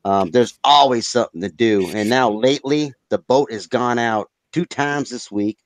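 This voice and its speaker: Man, slightly raspy, rambling casual talker, dry sound. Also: mid-range voice, moderate pace, dry recording. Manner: rambling, full of "you know". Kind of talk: casual chat on a live show.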